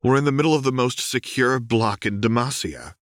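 Man voicing a terse, exasperated woman, pitched up. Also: brisk, dry recording, clean studio sound, natural voice. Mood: tight. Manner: tight, terse, scolding. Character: tense, exasperated woman mage, terse and reproachful. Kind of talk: audiobook character voice.

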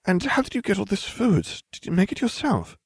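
Man doing an earnest, high-pitched schoolgirl. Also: big pitch swings, a little fast, no room sound. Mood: concerned. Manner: earnest, softly insistent. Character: earnest, bookish twelve-year-old schoolgirl, concerned and precise.

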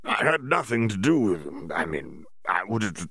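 A man's voice: on the low side, big pitch swings, normal speed, fairly bright tone, husky, no echo, exaggerated voice. A man voices an uneasy official who stammers and shrinks back. The voice stays close to the reader's own, in a dry, close recording. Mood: nervous. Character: nervous, stammering male official.

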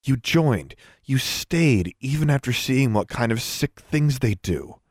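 A man's voice: medium pitch, animated, takes it slowly, a little mellow, dry recording, studio quality, pitched above the performer's own voice. A man voicing a bold, confrontational teenage girl in an audiobook story, blunt and firm in the way of a leader. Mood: challenging.

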